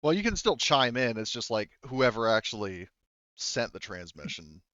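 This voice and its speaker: A man with a smooth voice acts as game master, recorded dry and a little dull. He narrates and explains the scene in a wordy, halting, improvised way. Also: mid-range voice, very animated, a bit bright, no echo.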